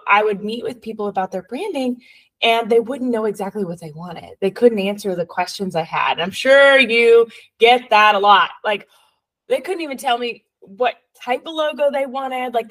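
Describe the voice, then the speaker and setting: A woman's voice: mid-range voice, fairly bright tone, rough recording. A woman with an English accent hosting a podcast interview, chatty and upbeat with frequent fillers. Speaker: podcast host. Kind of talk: casual interview.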